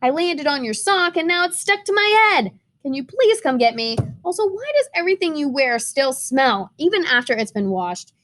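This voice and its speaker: A woman's voice: high-pitched, very animated, normal speed, few pauses, a bit bright, pitched above the performer's own voice. A woman voicing a chatty, silly crayon stuck to a sock, reading its letter in a bouncy, pleading way.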